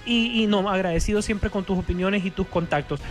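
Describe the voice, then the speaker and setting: A man's voice: high-pitched, a little fast. A man with a Honduran accent hosting a film radio show in a casual, chatty way, often repeating words as he goes. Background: background music.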